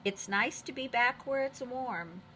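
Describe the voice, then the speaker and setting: A woman reading the parts of childlike herd elephants in a children's storybook, expressive and exclaiming. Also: on the high side, unhurried, a little hiss or hum, voice pushed up. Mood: content. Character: herd elephants, unsure, easily impressed, childlike followers. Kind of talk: children's storybook read-aloud.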